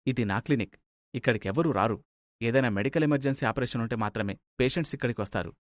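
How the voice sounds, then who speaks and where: A man voicing a calm, reassuring undercover doctor in story dialogue. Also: medium pitch, quick pace, no echo, studio quality, lightly acted. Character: calm, reassuring male agent posing as doctor.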